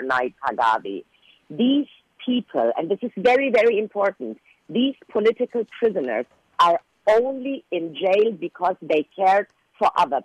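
A high-pitched man whose first language is Persian delivers a prepared statement with earnest, measured phrasing. He leans on key words for emphasis. The sound is dry and close, with no room around it. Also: dry recording, low-quality recording. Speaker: guest, relative of a political prisoner.

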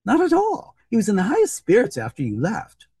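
A reader voicing an amused, worldly young English gentleman in a storybook, bantering in exclamations. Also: medium pitch, wildly swooping pitch, a little fast, slightly rough, dry recording, voice pushed up, exaggerated voice. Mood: amused explaining.

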